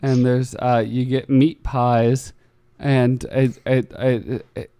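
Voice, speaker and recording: A man's voice: mid-range voice, level tone, mellow, clean tone. A Canadian man rambling through jokes in casual podcast banter, stumbling and restarting. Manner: rambling, halting, joking.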